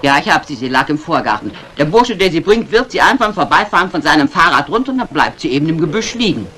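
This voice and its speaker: An actress playing an anxious, excitable maid and companion in a 1950s radio crime drama. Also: female voice, low-pitched, fast talker, exaggerated voice. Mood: flustered. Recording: noisy old radio drama, storm effects.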